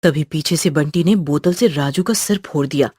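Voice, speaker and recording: Female narrator, scripted horror story, raspy tone, lowish pitch, suspenseful, dramatic, dry studio-like sound. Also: normal speed, warm tone, dry recording.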